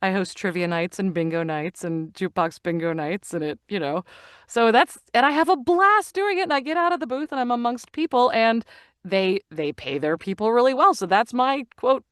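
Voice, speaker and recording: A woman's voice: a little high, normal speed, no echo. Middle-aged woman chatting, dry close sound. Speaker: guest, actor and audiobook narrator. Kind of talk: casual conversational interview.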